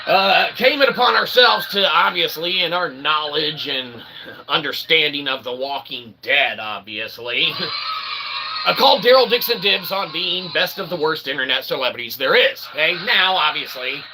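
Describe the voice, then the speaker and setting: A man's voice: on the high side, expressive, normal speed. A man hosting a comedic internet show in a rambling, tongue-in-cheek, mock-grandiose and wordy way, on a noisy home recording.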